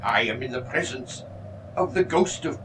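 A male actor plays an old miser humbled by dread in a radio drama. His earnest, pleading voice swings widely in pitch and rings in a roomy space, with faint noise behind it. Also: animated, normal speed, far from the mic, close to an everyday voice. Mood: fearful pleading. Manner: earnest, theatrical, imploring. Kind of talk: radio play acting.

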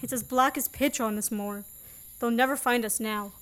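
A woman's voice: fairly high pitch, steady tone, moderate pace, a bit bright, lightly acted. A young woman playing a sardonic, commanding man on the run in a student radio play. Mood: exasperated. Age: young adult. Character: fugitive man, sardonic, bossy, wrongly accused.

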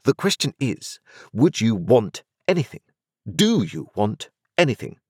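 A man's voice: medium pitch, very animated, measured pace, a little mellow, breathy edge, no room sound, clean studio sound, voice pushed up. A man voicing a brusque, cross-examining old-school lawyer. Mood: stern, formal. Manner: clipped, formal, cross-examining. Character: brusque, overbearing middle-aged male lawyer. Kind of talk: audiobook character voice.

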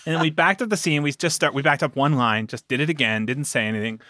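A man's voice: mid-range voice, fast talker, bright, forceful tone, dry recording, studio quality. A man speaking as a producer and game master, telling stories casually as an interview guest. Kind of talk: casual animated storytelling.

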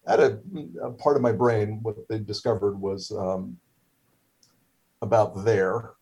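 A man's voice: on the low side, steady tone, unhurried, creaky, darkish tone, husky. A middle-aged man whose first language is Hebrew, telling a story casually with many fillers, restarts and wry humor. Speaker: guest, stroke survivor.

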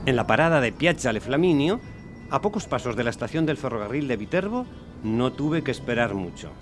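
Man playing an aggrieved, superstitious taxi driver, mid-pitched. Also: fairly quick, music in the background, natural voice. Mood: wry storytelling. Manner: animated complaining, rhetorical questions to the listener. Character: aggrieved, superstitious male Roman taxi driver telling his bad day. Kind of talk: dramatic monologue in radio theatre.

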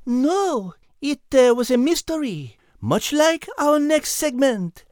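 A playful French animal lady with a high voice. She banters teasingly as a children's podcast host. The recording is very dry. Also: sing-song, slow pace, darkish tone, no room sound, faint music behind, exaggerated voice. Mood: lighthearted.

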